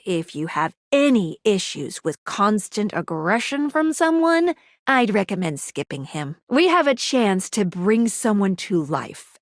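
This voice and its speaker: A woman's voice: medium pitch, no room sound, studio quality. A woman voicing a perky, quirky young female guide in a dating video game, with a bubbly, playful, theatrical delivery. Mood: bubbly, teasing. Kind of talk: animated video game voice acting.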